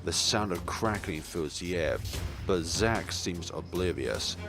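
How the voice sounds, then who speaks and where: Male let's player, lowish voice, very lively delivery, narrates and comments casually over game music. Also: slow pace. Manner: expressive, acts out dialogue, chuckles and stumbles over words. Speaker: let's play host. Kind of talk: reading a visual novel aloud with casual commentary.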